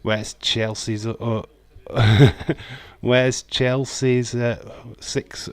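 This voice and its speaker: A man with a broad Yorkshire accent chatting casually on a livestream, reading out viewer comments, swearing and filling pauses with lots of "uh". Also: mid-range voice, little pitch movement, no echo. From Yorkshire, England. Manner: chatty, reads viewer comments aloud, swears, many ums. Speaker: livestream host. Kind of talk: casual live watch-along chat.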